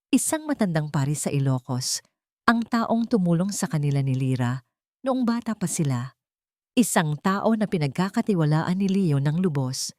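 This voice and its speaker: A Filipino woman tells a scripted romantic drama as its narrator. Her voice sits on the low side and moves widely in pitch. The sound is dry, with little room on it. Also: a little mellow, no room sound.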